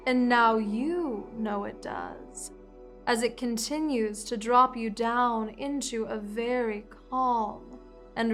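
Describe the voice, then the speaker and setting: A commanding, seductive vampire queen, as a woman acts her out, drawing out every word at a languid, unhurried pace in a fairly high voice. She lulls and controls with slow, hypnotic phrasing. Soft music plays faintly behind her. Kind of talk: ASMR hypnosis roleplay.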